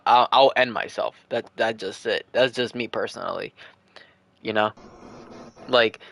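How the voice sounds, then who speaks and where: Young male streamer in his twenties, casual Q&A, earnest, rambling, mid-pitched. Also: pushed and bright, slight background noise. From United States. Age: 20s. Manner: earnest, rambling, repeats himself while thinking aloud. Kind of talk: casual livestream Q&A.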